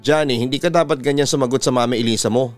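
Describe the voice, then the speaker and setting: A man's voice: medium pitch, fast talker, faint music behind, close to an everyday voice. A man voicing a firm, stern middle-aged father in a narrated family story. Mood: stern, angry. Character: stern middle-aged father, easily angered by his son.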